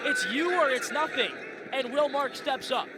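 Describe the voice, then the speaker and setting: American man, very high voice, emphatic color commentary on lacrosse, very loud venue noise. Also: moderate pace. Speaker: color analyst. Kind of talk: live lacrosse analysis.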